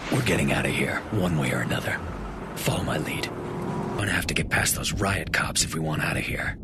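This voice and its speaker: An actor playing a weary, sardonic, hunted man with electric powers in a video game, speaking in a terse deadpan. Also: very deep voice, fairly quick, very noisy. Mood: terse, dry. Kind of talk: scripted game narration and dialogue. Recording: loud game music behind.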